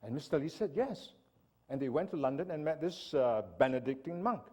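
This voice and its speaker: Man from Singapore, lecture on faith, husky, quite high-pitched, lively voice, checks in with the audience. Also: a little fast. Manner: animated lecturing, asks audience "right?". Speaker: speaker. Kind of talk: church seminar talk.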